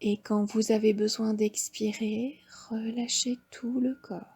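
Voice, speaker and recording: A woman with a French accent leads a yoga nidra session, speaking very slowly and softly. Her voice is fairly high, mellow and breathy, often dropping into half-whispered breathing cues. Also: flat delivery. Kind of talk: guided relaxation instruction.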